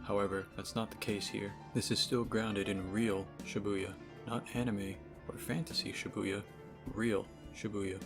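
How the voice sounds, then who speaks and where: Low-voiced sarcastic man with music behind. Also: flat delivery, unhurried. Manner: sarcastic, profane, informal. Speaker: video game reviewer. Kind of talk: casual opinionated video essay commentary. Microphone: cheap microphone.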